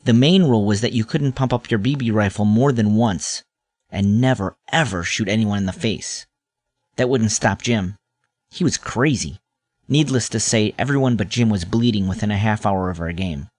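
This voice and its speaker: A man's voice: lowish voice, normal speed, darkish tone, dry recording. A man narrating a scripted, nostalgic first-person story as its storyteller.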